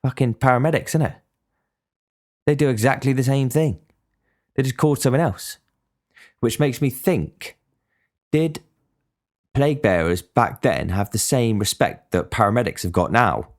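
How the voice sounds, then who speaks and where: A man's voice: mid-range voice, lively intonation, moderate pace, long pauses. A young man giving a blunt, sweary casual monologue as a podcast host. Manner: blunt, swears freely, ranting.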